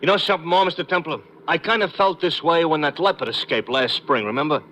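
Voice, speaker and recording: Good-natured, none-too-clever male cabbie, sharp New voice, eager chatter, noisy vintage radio drama. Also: on the high side, quick pace. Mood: chatty, earnest. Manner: folksy malapropisms, eager chatter. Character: chatty, simple-minded, good-natured male cab driver. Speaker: actor. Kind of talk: 1950s radio comedy-drama acting. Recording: noisy old radio recording.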